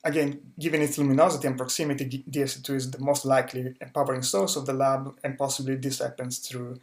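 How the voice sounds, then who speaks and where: A man's voice: mid-range voice, flat delivery, fast. A young man presents a recorded research talk, careful and methodical, often restarting and repeating words as he walks through his slides. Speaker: conference speaker, astrophysics researcher.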